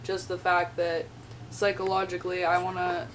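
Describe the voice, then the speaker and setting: Young adult woman, college vlogger, low-ish voice, slightly raspy, chatty filler-heavy delivery, mic a bit distant. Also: completely flat delivery, moderate pace, a little hiss or hum. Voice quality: chatty, lots of "like" and "um". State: slightly raspy from a sore throat. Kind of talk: casual vlog talk.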